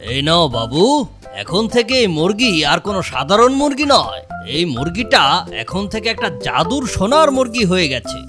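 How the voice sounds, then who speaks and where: A performer plays a village elder in a children's cartoon. The delivery is theatrical and very animated in pitch, with music clearly behind it. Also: normal speed, lightly acted. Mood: kindly. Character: holy hermit with magic, and a scheming village elder.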